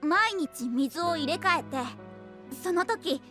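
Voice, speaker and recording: A woman's voice: very high voice, lively intonation, slow, a bit bright, faint music behind, exaggerated voice. A woman voicing a sweet, friendly small child in an anime voice drama, with innocent, simple phrasing. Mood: sweet, earnest. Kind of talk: Japanese anime voice drama acting.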